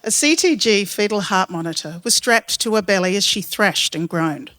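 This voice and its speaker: A woman with an Australian accent reading her written true story to listeners as a storyteller, in a measured, literary way. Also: mid-range voice, bright, forceful tone. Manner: measured, literary, descriptive delivery.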